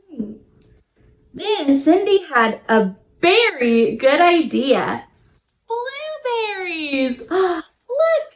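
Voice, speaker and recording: A woman with a very high, swooping voice leads storytime for little kids. She is a teacher who talks slowly and directly to children with big, sing-song enthusiasm. The room adds noticeable echo. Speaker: children's teacher and show host. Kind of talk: read-aloud storytime for young children.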